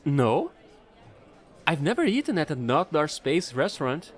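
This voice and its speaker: High, bubbly, swooping fallen angel played by a man. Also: sing-song, measured pace, a faint crowd. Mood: sheepish. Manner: bubbly, gushing, rambling, apologetic. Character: chatty, excitable, offbeat fallen alien angel space trader. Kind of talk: audio roleplay acting.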